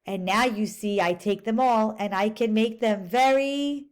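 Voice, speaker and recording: A woman's voice: medium pitch, unhurried, natural voice. A woman voicing a boastful, eager spotted male creature in a rhyming storybook, with a bouncy delivery.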